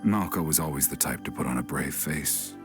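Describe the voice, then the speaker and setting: An actor playing a grieving, regretful warrior in a video game, with a measured, weary and reflective delivery. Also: male voice, a bass voice, music in the background. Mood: sombre, regretful. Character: grieving, regretful adult male warrior, sombre and steadfast.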